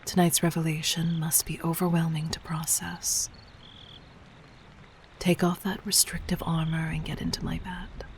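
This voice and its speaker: A woman playing a gentle but commanding, seductive demon queen in an intimate audio roleplay, speaking softly and formally in a breathy near-whisper. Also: low-pitched, little pitch movement, moderate pace, slight background noise. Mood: tender, reassuring.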